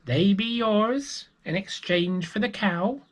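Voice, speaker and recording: A man voicing a creaky, sly old beggar with magic beans for a children's storybook. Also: sits high, slow, voice pushed up. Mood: sly, tempting.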